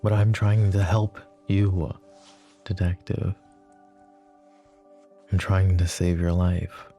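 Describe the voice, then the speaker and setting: A man playing a possessive, lovestruck mafia boss in an intimate audio roleplay, speaking softly and emotionally with halting phrases. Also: low-pitched, flat delivery, soft background music. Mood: gentle, weary. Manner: soft, emotive, halting delivery with stammers. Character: possessive, lovestruck young male mafia boss, tender and anguished. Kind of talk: intimate roleplay ASMR acting.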